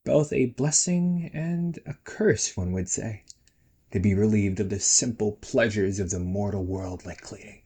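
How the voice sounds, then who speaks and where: A man's voice: fairly low pitch, measured pace, dark tone, husky. A man playing a playful, nagging young ghost brother in a casual roleplay audio drama, teasing and chatty. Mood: bantering. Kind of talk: casual one-sided roleplay audio acting.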